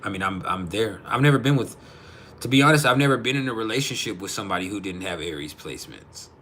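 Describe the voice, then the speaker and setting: A man hosts a casual live chat in a naturally deep, low-pitched voice. He talks in a blunt, slangy way and swears freely. Music plays behind him as he speaks to his viewers. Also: lively intonation, quick pace, pushed and bright, a little hiss or hum. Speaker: livestream host.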